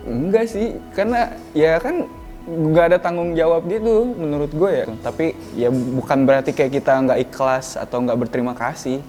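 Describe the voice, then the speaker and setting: A man's voice: fairly high pitch, moderate pace, warm tone, faint music behind. Casual young man, slangy talk. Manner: colloquial, slangy. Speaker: content writer being interviewed. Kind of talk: casual interview answers.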